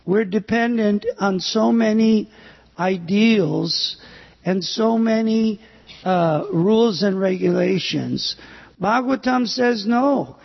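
An older man preaches slowly in a high, gravelly voice with a husky edge. He halts often and fills gaps with "uh". The recording is dull and carries a long hall echo. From United States. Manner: slow, halting delivery with pauses and fillers. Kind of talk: sermon on scripture.

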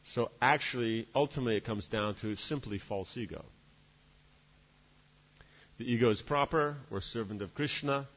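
A man giving a devotional lecture, rambling along and checking in with "right?" and "you know" between his points. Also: mid-range voice. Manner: discursive, many "you know" and "right?" asides. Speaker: spiritual teacher. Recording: dull reverberant hall recording.

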